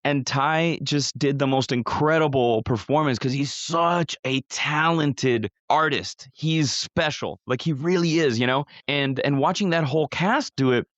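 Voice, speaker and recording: A man's voice: a little high, unhurried, few pauses, a bit bright, dry recording, dull audio. A middle-aged man co-hosting a podcast, chatting casually, rambling with restarts and casual swearing. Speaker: podcast co-host. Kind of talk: casual chat.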